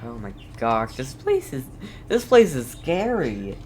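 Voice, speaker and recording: Male game streamer, unhurried casual chat, excitable reactions, keyboard clatter and noise behind. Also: medium pitch. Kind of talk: casual gameplay commentary.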